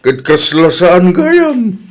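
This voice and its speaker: A man playing a puzzled, comically slow middle-aged village husband in a radio drama, with exaggerated delivery. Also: sits high, wildly swooping pitch, heavily acted. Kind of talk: Ilocano comedy radio drama acting.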